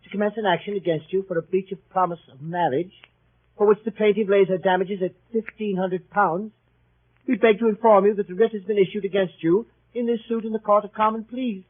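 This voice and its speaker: A man's voice: sits high, steady tone, brisk. An actor playing a grave man reading out a legal letter precisely, in a muffled vintage radio drama. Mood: formal, grave. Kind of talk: 1930s radio acting. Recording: muffled lo-fi old radio recording.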